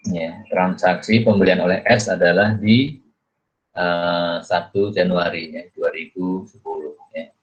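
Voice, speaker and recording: A man lecturing through a worksheet in a halting way, thinking aloud with questions and frequent check-in tags. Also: low voice, steady tone. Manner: repeatedly adds "ya" as a check-in tag. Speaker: accounting lecturer. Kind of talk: explanatory screen lecture, working through a worksheet. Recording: dull, muffled lo-fi recording.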